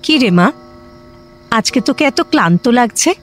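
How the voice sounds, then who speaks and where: An actress plays a fussing middle-aged mother in an audio drama, caring yet insistent. Her voice is high and very animated, with soft music behind. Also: normal speed, lightly acted.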